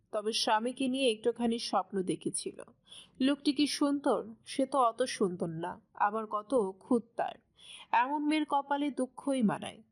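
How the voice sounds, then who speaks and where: A woman reading scripted narration as the storyteller of a dramatic romance story. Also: a little high.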